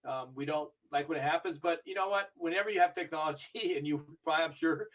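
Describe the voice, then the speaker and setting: An older man who grew up in Argentina, telling stories in a chatty, animated way as a podcast interview guest. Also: a little high, a little fast, distant mic, rough recording. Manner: chatty storytelling, animated when recalling matches. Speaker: interview guest, video review manager.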